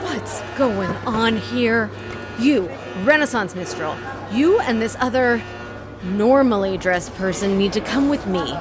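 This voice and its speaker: A stern woman airport officer makes arrests in a slow, official way. Her voice is a little high, with music behind it. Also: lively intonation.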